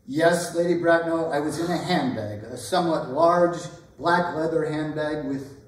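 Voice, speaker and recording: A man playing a polite, earnest and flustered gentleman suitor in a staged period comedy reading, formal and apologetic. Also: fairly high pitch, normal speed, lightly acted. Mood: sheepish explaining. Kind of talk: theatrical readers' theatre performance of a period comedy. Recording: echoey hall, distant microphone.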